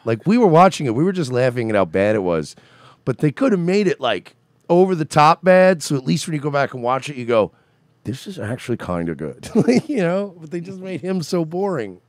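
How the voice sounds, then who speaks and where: A man's voice: a little high, very animated, brisk, dry recording. A man in his thirties co-hosting a podcast in casual chat, telling stories and mimicking people he met. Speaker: podcast co-host. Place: home studio with red curtain.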